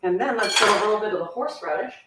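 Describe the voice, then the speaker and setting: A woman with a slightly squeaky voice hosts a live cooking class, answering viewers' questions in a chatty way with self-deprecating asides, while a sore back bothers her. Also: racing, very noisy. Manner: chatty, warm-hearted asides, laughs at herself. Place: home kitchen. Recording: echoey kitchen, voice from a distance. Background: clinking cutlery and dishes.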